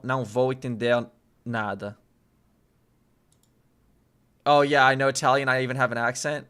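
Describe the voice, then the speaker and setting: Guy learning portuguese, talks real slow, keeps repeating words while he figures it out. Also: medium pitch, a bit bright. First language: English. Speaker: language learner and vlogger. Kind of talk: casual study vlog, thinking aloud.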